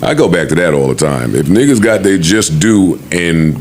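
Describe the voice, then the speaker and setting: A man's voice: low voice, animated, moderate pace, a little mellow, slight background noise. An American man in casual, opinionated panel talk, blunt and repeating himself for emphasis. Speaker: podcast host.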